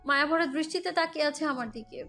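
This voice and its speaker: A woman's voice: high-pitched, fast talker, sharp tone. A woman playing a hurt, defiant young mother who narrates her own romantic audio story, with an emotional, scolding manner. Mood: stern, pained. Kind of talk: first-person Bengali audio story narration and dialogue. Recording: music bed behind the voice.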